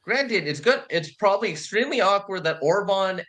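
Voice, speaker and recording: A man's voice: high-pitched, animated, moderate pace, fairly bright tone. A man from California hosting a political stream, talking casually and restarting his sentences. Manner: conversational, restarts mid-sentence. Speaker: stream host. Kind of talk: political commentary chat.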